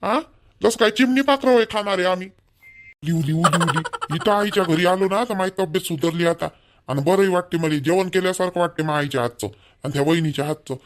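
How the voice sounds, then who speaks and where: A man plays a scolding young village husband in a homemade cartoon. His voice sits high, and he nags bluntly and repetitively in a rural Vidarbha Marathi accent. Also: brisk, a bit bright, a little hiss or hum. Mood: complaining, sarcastic. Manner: blunt, nagging, repetitive complaining. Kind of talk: amateur cartoon dialogue in rural dialect.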